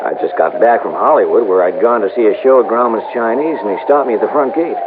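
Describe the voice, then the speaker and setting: An old, noisy radio recording with a narrow, tinny band. An actor plays an earnest small-town insurance man, low-pitched, homespun and hesitant, eager to reassure in vintage radio drama fashion. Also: fast, natural voice. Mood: earnest, reassuring. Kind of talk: 1950s radio drama acting.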